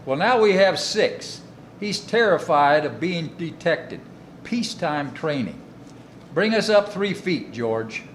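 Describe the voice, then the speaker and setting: An actor playing a confident, aggressive wartime submarine officer in a war drama, with a folksy military manner. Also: male voice, a little high, lively intonation, takes it slowly, a little hiss or hum. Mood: brisk. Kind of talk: radio-play style war drama acting.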